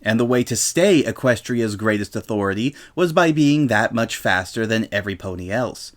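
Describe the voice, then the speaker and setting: A man's voice: lowish voice, lively intonation, moderate pace. Man narrating a comedic story. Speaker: narrator reading fan fiction aloud.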